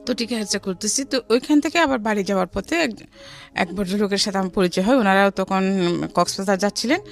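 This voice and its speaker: A middle-aged woman with a Bangladeshi accent recounts her own life in an interview. Her pitch is average for a woman, and she drops English words into conversational storytelling. Faint music plays behind her. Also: a little fast, creaky.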